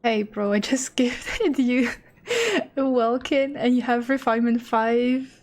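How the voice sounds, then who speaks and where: A woman's voice: a little high, fairly even intonation, unhurried, a little mellow. A woman game streamer chatting casually as she thinks aloud, rambling and a little self-deprecating. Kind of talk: casual livestream chat and commentary.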